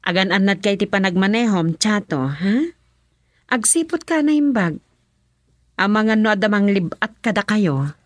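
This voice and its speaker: A woman's voice: medium pitch, lively intonation, normal speed, dry recording, close to an everyday voice. A woman with a Filipino accent acting a cautioning madam of the household in a radio drama. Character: governor's wife, cautioning her driver, madam of household.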